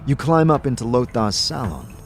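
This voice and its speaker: A man giving grave, expressive dramatic narration of a fantasy story. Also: mid-range voice, normal speed. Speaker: narrator, also voicing the player character. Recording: music behind the voice.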